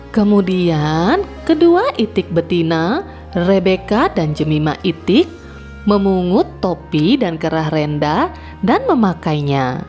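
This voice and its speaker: A woman tells a children's story at an unhurried pace, with a very lively, swooping pitch. Her delivery is deliberate and expressive, and soft music plays behind her. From Indonesia. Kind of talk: scripted fairy-tale narration for children.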